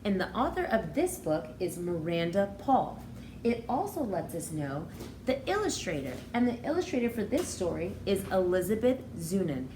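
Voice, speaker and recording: A woman's voice: medium pitch, sing-song, normal speed. A woman in her thirties reading a picture book aloud as a teacher, expressively and with explanations for young children. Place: small room indoors. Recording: roomy indoor echo, faint background noise.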